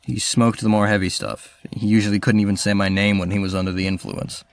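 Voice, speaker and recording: A man acting a reserved, strait-laced rich high school student in a fan audio drama, polite, understated and hesitant. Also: flat delivery, a little fast, natural voice. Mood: guarded.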